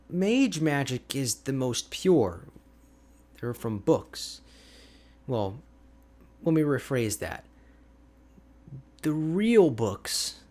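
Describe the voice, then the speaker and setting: A man playing an energetic, boastful, chatty ice mage hermit in an ASMR roleplay, with a playful, expressive, conversational delivery. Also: medium pitch. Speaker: audio roleplay voice actor.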